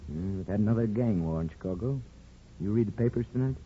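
An actor playing a gruff but soft-hearted middle-aged small-town father in a 1950s radio drama. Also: fairly low pitch, animated, quick pace, slight background noise, natural voice. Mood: earnest, homely. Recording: muffled, thin 1950s radio with music.

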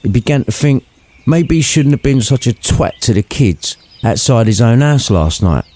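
Mellow male storyteller, cheeky and irreverent. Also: mid-range voice, expressive, moderate pace. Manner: cheeky, sweary asides to the listener. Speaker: narrator. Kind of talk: scripted, irreverent storybook narration.

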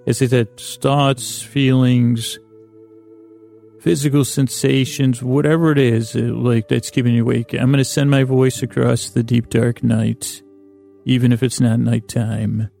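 A man with a creaky voice hosting a podcast, delivering a meandering, halting, self-deprecating bedtime monologue full of digressions and pauses. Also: medium pitch, level tone, mellow, soft background music. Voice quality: creaky, gravelly. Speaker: podcast host.

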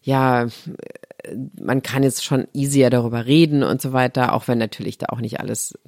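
A woman's voice: very deep voice, steady tone, normal speed, a little mellow, no room sound, clean studio sound. A middle-aged woman from Germany, a guest on a podcast talking about her health platform, explaining things earnestly and at length. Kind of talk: podcast conversation.

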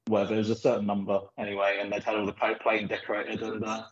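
A man's voice: fairly low pitch, fast talker. Man telling rambling stories, echoey. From Philippines. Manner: rambling, animated storytelling with frequent fillers. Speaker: pool player guest. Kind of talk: casual podcast interview. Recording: echoey, dull lo-fi recording.

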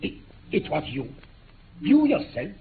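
An actor playing a haughty, hot-tempered aristocratic villain, indignant and theatrical, in 1950s radio drama style. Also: male voice, on the high side, huge pitch swings, measured pace, creaky, exaggerated voice. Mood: affronted. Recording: tinny, noisy 1950s radio recording.

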